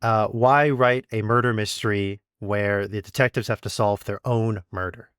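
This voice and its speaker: A man's voice: fairly low pitch, fairly even intonation, normal speed, fairly bright tone, smooth, no room sound. A man hosting a casual interview, chatting and putting questions to a guest. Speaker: interviewer, host.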